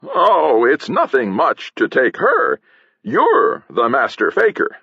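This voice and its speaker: A man voicing a cocky young male stage actor, showy and mock-grand with deadpan asides, in an audiobook. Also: medium pitch, slow pace, gravelly, breathy edge, no echo, dull audio, pitched above the performer's own voice, full character voice. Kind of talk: audiobook character acting.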